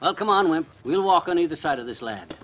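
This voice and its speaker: An actor playing a blustery, boastful husband in an old-time radio comedy, bossy and excitable. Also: male voice, on the high side, brisk, a little hiss or hum. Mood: cocky.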